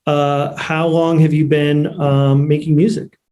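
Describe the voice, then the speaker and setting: A man with a mellow, slightly high voice for a man. He moderates a panel casually, handing questions to the guests. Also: level tone, measured pace.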